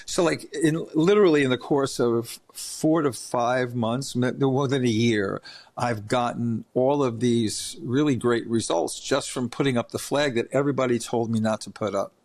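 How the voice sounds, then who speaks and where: A man's voice: mid-range voice, normal speed, darkish tone. A man hosting an interview podcast, telling rambling stories in a chatty way with many restarts. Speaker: podcast host. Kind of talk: casual interview chat.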